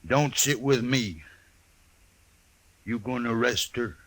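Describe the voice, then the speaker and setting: An actor playing a huge, simple, blunt buffalo hunter with a rustic drawl in a 1950s western radio drama. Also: male voice, medium pitch, fairly bright tone. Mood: grim, menacing. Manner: slow, rustic ungrammatical drawl.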